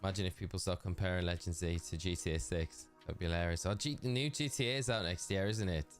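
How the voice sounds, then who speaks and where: A man's voice: deep voice, fast, faint music behind. A man with a Geordie accent chatting casually and rambling on a live game stream, talking to his chat.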